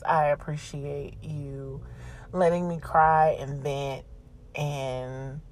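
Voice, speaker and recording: Woman, podcast host, slow-paced, low-key flat pitch, blunt, slangy, profane, casual confessional chat.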